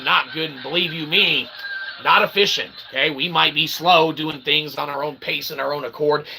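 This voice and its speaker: A man hosting his own channel in a rambling solo monologue, with raspy, mock-formal, wordy phrasing mixed with slang and frequent check-in tags. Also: fairly high pitch, noisy background. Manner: repeatedly tags phrases with "okay" and "all right". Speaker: channel host.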